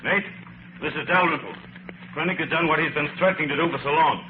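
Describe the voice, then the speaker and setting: A man's voice: fairly high pitch, lightly acted. Man acting a menacing radio villain, muffled and noisy. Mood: urgent, scheming. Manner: formal, measured, ominous. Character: menacing, scheming male mining company boss. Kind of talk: old-time radio drama acting.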